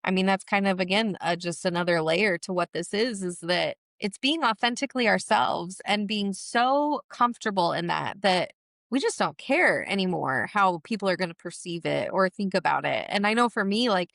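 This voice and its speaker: A woman hosting a podcast, speaking in an earnest, reflective way with a gravelly voice. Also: medium pitch, expressive, moderate pace, fairly bright tone, no echo, clean studio sound. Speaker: podcast host. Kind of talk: casual chat.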